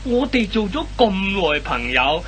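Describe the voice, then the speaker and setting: A man's voice: high-pitched, very animated, a little slow, a bit bright, heavily acted. A man acting a dim-witted, hapless boyfriend in a comic Hong Kong Cantonese radio play, stammering, exclaiming and flustered. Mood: flustered pleading. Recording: old radio recording with faint noise and hum.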